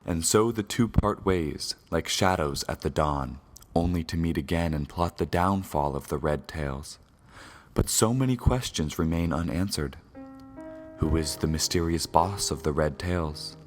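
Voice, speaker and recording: A man's voice: rumbling low, unhurried, soft background music. A man playing a mysterious, grandiose narrator of an old-time radio serial, solemn and dramatic. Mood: ominous. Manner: ominous, grandiose.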